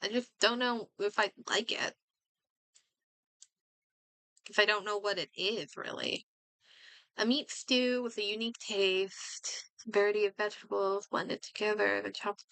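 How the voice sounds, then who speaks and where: Bright-voiced woman streaming a game, unhurried. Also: mid-range voice, steady tone, vocal fry, clear, resonant tone, dry recording, low-quality recording. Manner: hesitant, halting, thinking out loud. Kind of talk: casual livestream commentary, reading game text aloud and reacting.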